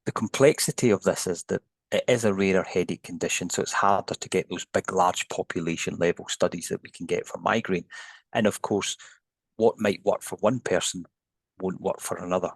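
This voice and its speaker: A man's voice: sits low, fairly quick, creaky, husky, dry recording. A Scottish man, a neuroscientist guest, explaining research on a podcast in a thoughtful, hedging way with frequent restarts. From Scotland. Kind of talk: podcast interview.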